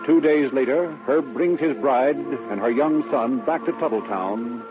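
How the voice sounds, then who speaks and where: Male announcer, 1930s radio narration, scripted, phone-like narrow sound, clear music behind. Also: mid-range voice, a little slow. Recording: tinny vintage radio recording, music behind.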